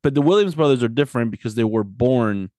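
A man's voice: medium pitch, animated, fast talker, warm tone, no echo, studio quality. A man in a casual sports discussion, co-hosting as a coach and often checking in for agreement. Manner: conversational, often seeks agreement with tag questions. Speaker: podcast host and soccer coach.

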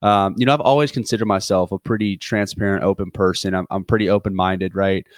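A man's voice: deep voice, steady tone, brisk, dry recording, studio quality. A man in his twenties talking through a reflective solo podcast, earnest and rambling, with many restarts and fillers. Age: 20s. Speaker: podcast host.

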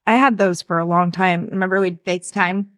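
A woman's voice: on the low side, steady tone, a little fast, fairly bright tone, dry recording. A young woman from the San Diego area, a podcast guest chatting with a friend, saying "like" a lot and laughing often. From San Diego area, USA. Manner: chatty, says "like" a lot, giggly. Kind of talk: casual chat with a friend.

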